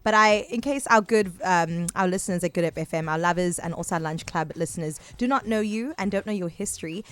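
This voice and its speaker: A woman's voice: fairly low pitch, fairly quick, clean tone, dry recording. A South African woman co-hosting a studio radio interview, asking questions eagerly. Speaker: radio co-host.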